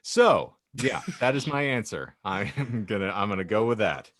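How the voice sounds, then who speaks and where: A man's voice: fairly low pitch, big pitch swings, moderate pace, a bit bright. A man co-hosting a casual podcast, joking in a dry, mock-formal way. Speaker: podcast co-host.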